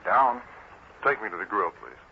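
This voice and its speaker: Urbane gentleman crime-fighter, mid-pitched, animated phrasing, muffled vintage radio drama sound. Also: normal speed, creaky. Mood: nonchalant. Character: wealthy playboy publisher secretly a masked vigilante, composed, urbane. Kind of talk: 1940s radio drama acting. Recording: dull, noisy old-time radio recording.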